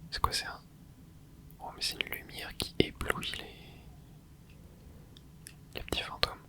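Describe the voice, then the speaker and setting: A gaming creator whispers an ASMR let's play. The voice is breathy and unvoiced throughout. The commentary is soft and casual, moving along in short remarks and little interjections. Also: a little slow.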